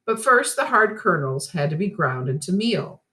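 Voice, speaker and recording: A woman reading a children's storybook aloud like a teacher, with expressive and deliberate phrasing. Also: on the low side, reverberant.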